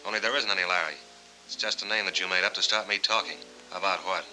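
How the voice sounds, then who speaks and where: An actor playing a hard-boiled, suspicious war veteran in a 1940s film noir, blunt, clipped and sardonic. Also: male voice, sits low, fast talker, bright, forceful tone, soft background music, poor audio. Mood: probing. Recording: vintage 1940s film soundtrack.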